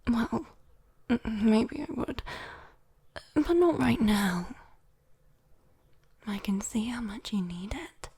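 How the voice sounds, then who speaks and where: A British woman voicing a sweet, teasing, possessive demon girl in a breathy, coaxing whispered ASMR roleplay. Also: fairly high pitch, a little mellow, full character voice. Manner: breathy, teasing, coaxing, slow with lingering pauses. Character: sweet, teasing, possessive young female demon comforting a human.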